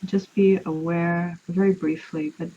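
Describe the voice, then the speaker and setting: A woman leading a guided relaxation meditation in an unhurried, soothing way, leaving long pauses. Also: lowish voice, steady tone, mellow. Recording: muffled, with clear background noise.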